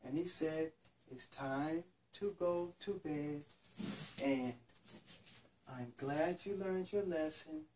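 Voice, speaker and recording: A man's voice: on the high side, takes it slowly, pauses often, darkish tone, a little hiss or hum. A man improvising a bedtime story for a child in simple, repetitive phrases. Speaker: father telling a bedtime story. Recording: distant microphone.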